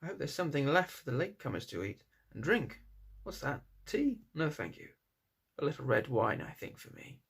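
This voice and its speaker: A man's voice: on the high side, very animated, moderate pace, creaky, slightly rough, full character voice. A man voicing a good-humoured old male wizard, genial and authoritative, in a storybook reading. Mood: genial, expectant.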